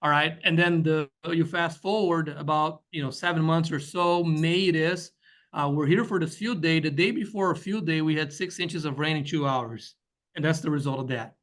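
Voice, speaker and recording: A man presents slides in a webinar as a guest weed scientist. His voice sits a little higher than most men's. He explains patiently and keeps checking in with "right?" as he goes. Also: normal speed, fairly bright tone. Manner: explanatory, frequent "you know" and "right?" checks.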